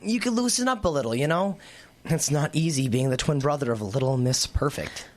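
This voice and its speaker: A man's voice: fairly high pitch, expressive, fairly quick, close to an everyday voice. Sarcastic teenage boy, acted by a man. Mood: teasing. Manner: sarcastic, teasing. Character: teenage boy twin, sarcastic, imaginative, teasing brother. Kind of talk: radio drama acting.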